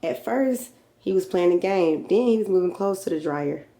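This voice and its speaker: Hoarse chatty woman, low voice. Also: animated, normal speed, warm tone, soft background music. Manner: chatty and teasing. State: hoarse from a lingering sore voice. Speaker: vlogger. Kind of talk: casual vlog chat to camera.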